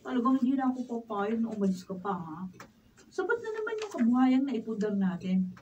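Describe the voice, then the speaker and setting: A woman's voice: on the high side, big pitch swings, brisk, mellow, recorded from a distance. A woman playing a generous, caring young wife and mother in a radio drama. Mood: affectionate. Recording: muffled off-mic room recording, noise, clicks.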